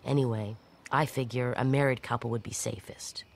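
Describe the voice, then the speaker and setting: A woman's voice: very deep voice, steady tone, normal speed, vocal fry, background noise. An actress playing a defiant woman fugitive who recounts her escape in a wry, conversational, matter-of-fact way in an audio drama. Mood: wry, weary.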